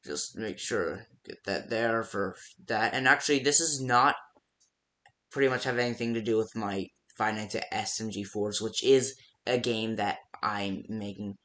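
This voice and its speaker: A man casually improvising phone-call style guide messages for a fan-made game, rambling and haltingly restarting his sentences. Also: on the low side, fairly bright tone. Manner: rambling, halting, self-interrupting with stammered restarts.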